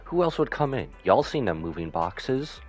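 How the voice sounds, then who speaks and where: A man's voice: lowish voice, very animated, normal speed, soft background music. A man giving casual, slangy live game commentary with skeptical asides. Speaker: game streamer.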